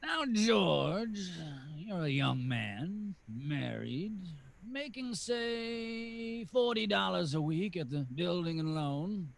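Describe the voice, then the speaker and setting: Creaky, scheming old rich villain. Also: male voice, sits high, lively intonation, full character voice. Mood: condescending. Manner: slow, deliberate, condescending. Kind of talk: 1940s radio drama acting.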